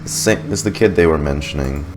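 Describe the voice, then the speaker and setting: A man's voice: a bass voice, a little fast. A man giving casual, slangy reaction commentary over a video game and reading out dialogue choices. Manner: laid-back, slangy. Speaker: gaming reactor. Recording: game music behind.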